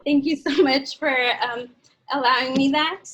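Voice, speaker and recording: A woman's voice: sits high, steady tone, moderate pace, fairly bright tone, muffled recording. A young adult woman giving an earnest, motivational personal speech to an audience, her throat a little dry. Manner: earnest, motivational, leads audience in call-and-response. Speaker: speaker at a community event.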